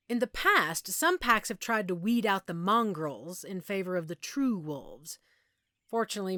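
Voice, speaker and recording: A woman playing a friendly werewolf pack member in an intimate audio roleplay, patiently explaining and gently reassuring the listener. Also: fairly low pitch, dry recording, studio quality. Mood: playful, informative.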